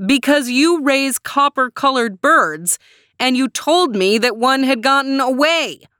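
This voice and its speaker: A friendly neighbor man, read by a woman in a storybook. He talks loudly and emphatically, with patient care and a lively, raised pitch. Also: normal speed, clean tone, no room sound, clean studio sound. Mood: exasperated, placating. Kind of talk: storybook character dialogue.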